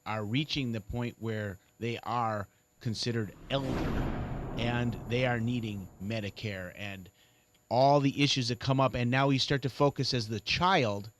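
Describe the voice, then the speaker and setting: A man's voice: mid-range voice, measured pace, a bit bright. A man in his forties, co-host of a legal talk radio show, joking and spinning long run-on points. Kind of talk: casual talk radio banter. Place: radio studio.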